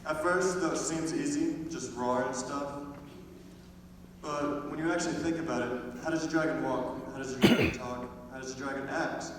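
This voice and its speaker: A teenage boy with a medium-pitched voice delivers a scripted monologue on stage. The sound is distant and noisy, with long echo from the hall. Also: moderate pace. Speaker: high school theater student. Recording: very echoey hall, distant mic, clear noise.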